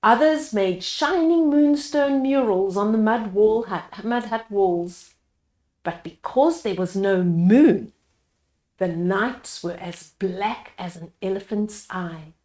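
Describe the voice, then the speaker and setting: A woman reading a children's picture book aloud as a storyteller, pausing to explain the pictures to young listeners. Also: medium pitch, darkish tone. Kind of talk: read-aloud storytime narration with asides to children.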